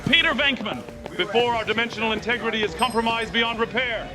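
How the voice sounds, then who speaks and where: An actor playing an earnest, brainy and anxious scientist in a motion comic, talking in precise technical terms. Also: male voice, very high voice, fairly quick. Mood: urgent. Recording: loud music behind.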